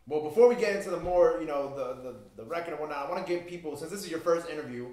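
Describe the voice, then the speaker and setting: A man's voice: fairly high pitch, racing, a little mellow. A man hosting a casual hip-hop interview in a recording studio, talking with hype and enthusiasm. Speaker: interview host. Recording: echoey studio room.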